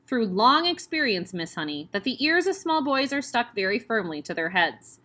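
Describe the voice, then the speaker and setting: A woman doing a towering, brutal headmistress from a storybook, loud and contemptuous, barking every order and sneering at small children. The voice is bright and keen, and it lurches up and down in pitch. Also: very animated, moderate pace, full character voice. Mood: bullying. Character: huge bullying tyrant headmistress, older woman.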